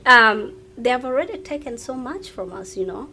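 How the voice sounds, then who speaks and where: A woman's voice: fairly high pitch, expressive, normal speed. A woman chatting informally as a guest, often repeating her words as she goes. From Uganda. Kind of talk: casual chat.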